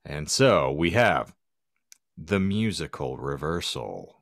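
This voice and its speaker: A man's voice: extremely low pitch, expressive, slow, no echo, studio quality. A man hosting a podcast with wry, sarcastic asides, bantering casually and reading bits aloud. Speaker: podcast host.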